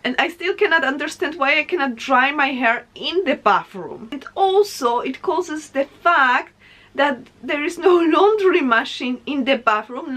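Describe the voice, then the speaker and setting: Young woman, Polish accent, native Polish speaker, high-pitched voice, casual animated vlog monologue. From Poland. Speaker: vlogger.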